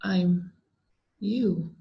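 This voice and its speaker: A woman voicing a ghostly female reflection in a horror story, deliberate, tempting and persuasive. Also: fairly low pitch, creaky, heavily acted. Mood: eerie. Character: ghostly female mirror double, tempting and coaxing. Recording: dull, lo-fi sound.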